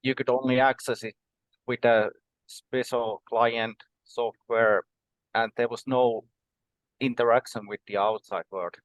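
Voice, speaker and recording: A man in his forties from Finland, a podcast guest explaining things in a measured way, with many um and uh pauses. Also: mid-range voice, fairly even intonation, dry recording, poor audio. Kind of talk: conversational podcast interview.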